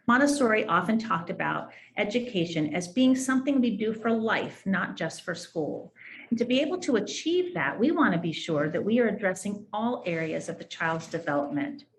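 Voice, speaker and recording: A woman's voice: mid-range voice, expressive, moderate pace, low-quality recording. A woman educator giving an explanatory presentation on a school program.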